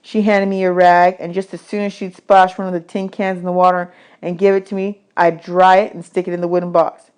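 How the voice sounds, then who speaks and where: A woman reading a children's novel aloud as expressive audiobook narration. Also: lowish voice, brisk, smooth. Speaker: audiobook reader.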